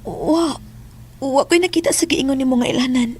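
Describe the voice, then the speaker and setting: A woman's voice: high voice, moderate pace, a little hiss or hum, natural voice. An actress playing a timid, obedient young woman in a horror audio drama, hesitant in her speech. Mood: uneasy, puzzled. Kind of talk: horror radio drama acting.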